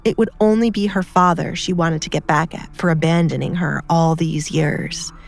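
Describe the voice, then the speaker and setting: A woman's voice: sits low, fairly even intonation, normal speed, gravelly. A woman reading scripted story narration as an audiobook narrator. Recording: music behind the voice.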